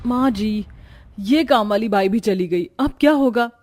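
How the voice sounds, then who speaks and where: A woman's voice: mid-range voice, unhurried, darkish tone, no echo, close to an everyday voice. A woman voicing an impatient, teasing young sister-in-law in a cartoon, with a familiar nagging manner. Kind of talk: cartoon voice acting.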